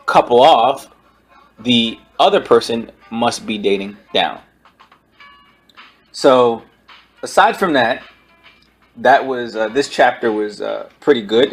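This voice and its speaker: A man giving an informal spoken book review, explaining his points in a halting way over background music. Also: mid-range voice, slightly rough.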